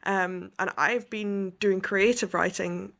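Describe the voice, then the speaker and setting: A woman's voice: medium pitch, fairly even intonation, takes it slowly, creaky, fairly bright tone. A young woman from the west coast of Scotland, an author telling her story as an interview guest, thoughtful and detailed.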